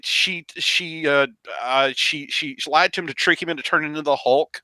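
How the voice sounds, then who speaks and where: A man with a bright, slightly high voice in a dry close recording. He talks casually about film as a podcast panelist, often stopping and restarting phrases to build his argument. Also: fairly even intonation, no echo. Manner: halting, repeats phrases as he builds a point.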